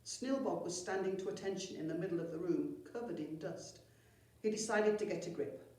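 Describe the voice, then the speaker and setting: Echoey, lower-pitched woman reading a story. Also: brisk, a little mellow. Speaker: primary school storyteller. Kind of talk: expressive children's story reading. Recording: very echoey room, far from mic.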